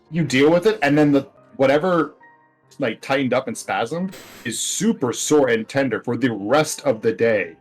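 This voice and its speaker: A man's voice: medium pitch, lively intonation, unhurried, slightly rough, faint music behind, poor audio. A man in casual conversation with friends as a gaming video co-host, telling wry, rambling anecdotes. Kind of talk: casual chat among friends over a game video.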